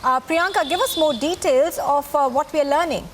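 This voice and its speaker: A woman news anchor with an Indian accent presenting live news in emphatic, deliberate phrases. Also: high voice, slight background noise.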